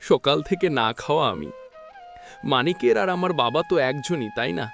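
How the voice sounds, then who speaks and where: Man as a mistreated young herder boy, cartoon drama, plaintive, gravelly edge, faint music behind. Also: a little high, animated, moderate pace, a bit bright. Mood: hurt, pleading. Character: mistreated poor cowherd boy, pleading and hurt. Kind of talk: cartoon story voice acting.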